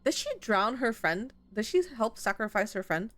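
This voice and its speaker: A woman's voice: medium pitch, normal speed, fairly bright tone. A woman reading a visual novel aloud as she plays, narrating expressively and breaking into casual, exclaiming commentary. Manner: reactive, exclaiming, casual swearing.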